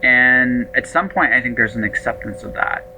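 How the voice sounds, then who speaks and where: A late-thirties man hosts a casual chat podcast, excitable and quick to trip over his own words. His voice is hard-edged and forceful, sitting at an ordinary middle pitch. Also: slight background noise, rough recording. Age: 30s. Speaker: podcast host.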